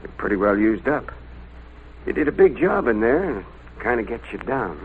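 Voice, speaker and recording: An actor playing a gruff but kindly police lieutenant in an old-time radio drama. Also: male voice, mid-range voice, sing-song, moderate pace. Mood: consoling. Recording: tinny, slightly noisy old radio.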